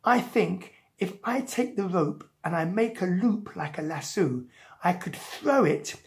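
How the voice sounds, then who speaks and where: A woman's voice: on the low side, lively intonation, a little slow. A woman voicing a determined little boy in a children's story, polite, earnest and pleading.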